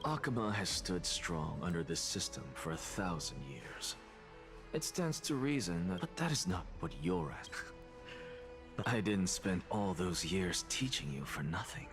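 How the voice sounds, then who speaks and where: A sardonic professor in a video game, with a deep voice and an unhurried delivery. He lectures in a dry, cutting manner over faint game music. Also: very animated. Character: sardonic older male professor, dry and demanding.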